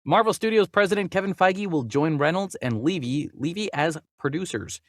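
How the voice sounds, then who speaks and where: Male podcast host, jokey casual chat, very lively intonation, dry close sound. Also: a little high, moderate pace, no room sound. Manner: jokey, animated, stumbles over names when reading. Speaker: podcast host reading and discussing movie news. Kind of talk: casual chat with read-out news items.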